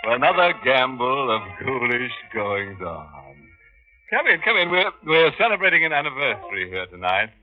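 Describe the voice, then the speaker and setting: A man playing a deep-voiced ghoulish radio horror host. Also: big pitch swings, normal speed, natural voice. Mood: gleefully macabre. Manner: mock-genial, punning, chuckling. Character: ghoulish, gleefully macabre male horror show host. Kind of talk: 1940s radio acting. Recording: dull vintage 1940s radio with music.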